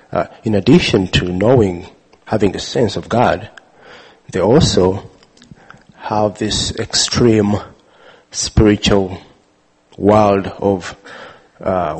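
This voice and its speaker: A man from Uganda telling a personal story with many pauses, fillers and restarts. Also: on the low side, darkish tone, slightly rough, poor audio. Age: adult father. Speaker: speaker sharing his testimony. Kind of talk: personal testimony talk.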